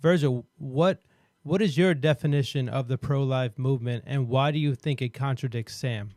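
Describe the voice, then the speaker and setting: A man hosting and moderating a debate, asking measured clarifying questions. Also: medium pitch, a little mellow, dry recording. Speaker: host and moderator.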